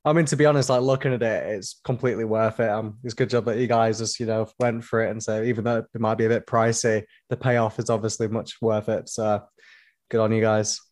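A man, interviewing a band in a relaxed, upbeat way. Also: on the low side, a little fast, no room sound, studio quality. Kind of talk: casual interview chat.

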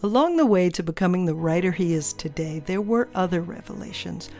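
A woman host reading a scripted narration in a measured, deliberate way. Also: fairly low pitch, animated, warm tone, soft background music.

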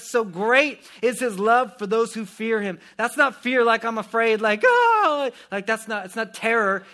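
A man preaching a sermon to a congregation in a conversational way that rises to shouting. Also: high voice. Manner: energetic, conversational, swells to shouting. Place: church hall before a congregation. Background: instrument music.

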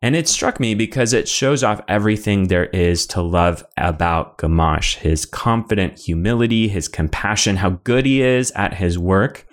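This is a man hosting a podcast, with a voice that sits low in pitch. He reads his scripted lines in an upbeat way. Between the scripted parts he slips into casual conversation with his co-host. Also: normal speed, few pauses.

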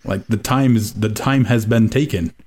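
A man's voice: fairly low pitch, moderate pace, a little mellow, husky. A man co-hosting a casual soccer podcast, giving a wry, rambling analysis full of fillers. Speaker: podcast co-host.

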